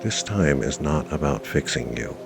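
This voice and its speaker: Very deep, gravelly male meditation guide. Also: expressive. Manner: measured, reassuring, with long pauses. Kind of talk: guided meditation narration, self-hypnosis. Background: music.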